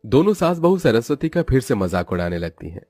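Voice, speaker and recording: A man's voice: medium pitch, big pitch swings, a little fast, mellow, dry recording, studio quality, faint music behind. A man narrating a cartoon moral story from a script.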